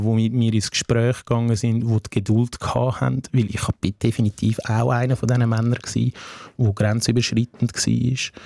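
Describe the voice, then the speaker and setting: Swiss German man, twenties, mellow and earnest interview guest, dry close sound. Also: medium pitch, creaky, dry recording. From Afghanistan. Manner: earnest, searching, repeats phrases with "hey". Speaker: guest, social worker. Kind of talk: reflective interview talk.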